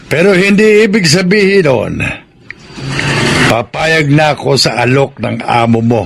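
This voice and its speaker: A man's voice: fairly high pitch, lively intonation, unhurried, creaky, background noise. An actor playing a proud, principled old Filipino farmer and grandfather in a radio drama, firm and admonishing. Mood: stern, defiant.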